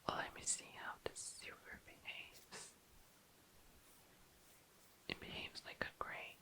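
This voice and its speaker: Slow whispering ASMR host. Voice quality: breathy unvoiced whisper. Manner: soft, repeats words soothingly. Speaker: ASMR video creator, host. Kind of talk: whispered ASMR craft commentary. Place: indoors at a desk.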